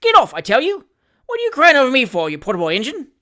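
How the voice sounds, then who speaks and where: A reader doing a gruff young servant. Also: male voice, sits high, very animated, brisk, pitched above the performer's own voice, heavily acted. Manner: gruff, blunt, shouting. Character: blunt young London manservant, fierce and gruff.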